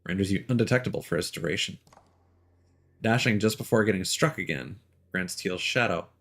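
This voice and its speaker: A man's voice: low voice, a little fast, fairly bright tone. A man streaming a video game and chatting casually as he plays, thinking aloud with fillers and small laughs. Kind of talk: casual live gameplay commentary.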